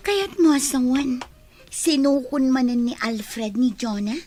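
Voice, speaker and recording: A woman playing a shy young office worker who is quietly smitten with a coworker, in an audio drama. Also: high-pitched, animated, measured pace, soft background music. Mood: curious, probing. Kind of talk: amateur audio drama acting.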